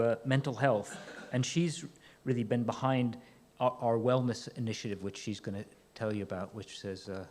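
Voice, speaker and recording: A man's voice: medium pitch, darkish tone. A man introducing the next speaker at a talk, hesitant with many fillers. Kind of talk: lecture introduction. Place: lecture hall. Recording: long hall reverb, faint room noise.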